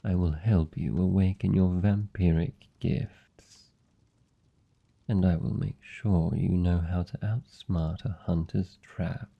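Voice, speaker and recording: A man's voice: low voice, steady tone, gravelly, slightly rough, dull audio. A British man playing a suave, seductive vampire lord in a fantasy ASMR roleplay, speaking formally and teasingly with commanding, predatory politeness. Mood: persuasive. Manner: teasing, formal, silky and deliberate. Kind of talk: fantasy ASMR roleplay, slow intimate monologue.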